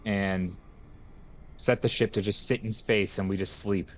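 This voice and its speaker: A man playing a tabletop roleplaying game, casually pitching plans to the group. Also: steady tone, measured pace. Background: background music.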